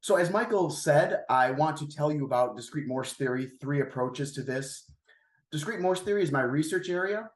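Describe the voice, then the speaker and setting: A man giving an academic math lecture in plain, informal explanatory language, his voice slightly raspy as he gets over a cold. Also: on the high side. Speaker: guest seminar lecturer, math researcher. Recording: roomy, echoey sound.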